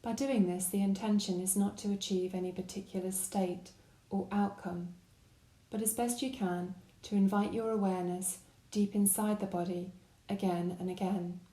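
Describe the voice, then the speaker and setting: A woman guiding a body scan meditation with gentle, calm, unhurried instruction. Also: mid-range voice, roomy sound, rough recording. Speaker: meditation guide.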